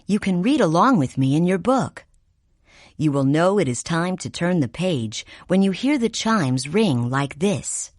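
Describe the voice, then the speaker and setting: A woman narrating a read-along storybook in a clear, measured, expressive way. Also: fairly low pitch, no echo.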